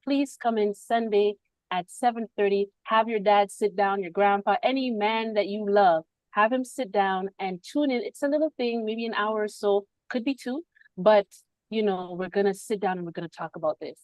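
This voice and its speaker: A woman's voice: medium pitch, moderate pace, darkish tone, no room sound, low-quality recording. A woman hosting a spiritual podcast on a video call, speaking reflectively and laughing often between thoughts. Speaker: podcast host.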